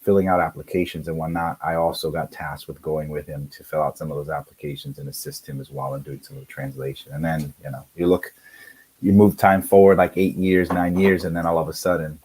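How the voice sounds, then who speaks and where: A man's voice: very deep voice, brisk, darkish tone, husky, low-quality recording. A man in his forties, a native Spanish speaker, telling his story as a guest on a video call, earnest and full of fillers. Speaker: guest, career center director. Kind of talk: casual conversation.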